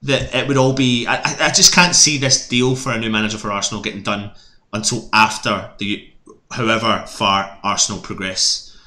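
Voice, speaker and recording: A man's voice: lowish voice, fairly even intonation, normal speed, pushed and bright, roomy sound. A man with a Scottish accent chatting as a fan panelist on a football discussion show, jumping in with jokes and stammering restarts. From Scotland. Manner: jokey, jumps in with stammering restarts.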